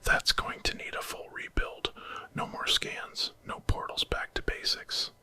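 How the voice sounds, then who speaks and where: A man voicing a brisk, eccentric time-traveling hero in a breathy whispered ASMR story. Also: bright, forceful tone. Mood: exasperated. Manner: brisk, commanding.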